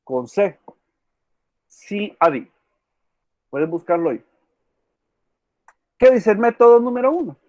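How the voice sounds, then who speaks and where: A man's voice: sits high, big pitch swings, slow, pauses often, no echo. Male law lecturer, repeats key terms, muffled lo-fi recording. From El Salvador. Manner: quizzes students by name, repeats key words. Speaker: law professor. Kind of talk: online class lecture.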